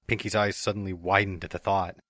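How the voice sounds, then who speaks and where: Deep-voiced man narrating a story. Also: level tone, fairly quick, fairly bright tone, dry recording, clean studio sound. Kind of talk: fan dramatic reading of a story.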